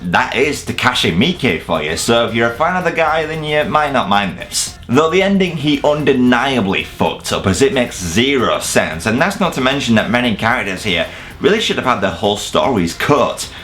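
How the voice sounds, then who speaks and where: Animated male video reviewer, jokey delivery. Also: mid-range voice, normal speed, soft background music. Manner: jokey, casually sweary. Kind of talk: semi-scripted countdown review commentary.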